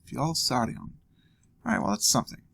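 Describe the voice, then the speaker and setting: Man, gravelly voice, lively pitch, casual game commentary, thinking aloud. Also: a little fast. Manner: thinking aloud, musing and joking. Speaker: let's play host.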